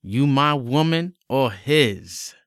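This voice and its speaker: A man voicing a tough teenage bully who talks low and slow with threat. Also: expressive, no echo, clean studio sound. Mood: menacing.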